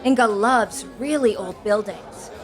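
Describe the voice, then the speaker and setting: A woman's voice: fairly high pitch, measured pace, faint music behind, a faint crowd, natural voice. A woman playing a quirky, coy character who poses as an oddball in a sitcom podcast, mock-serious in manner. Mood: playful, mock-serious. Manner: mock-serious, speaks in third person. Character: quirky, coy woman posing as odd Inga.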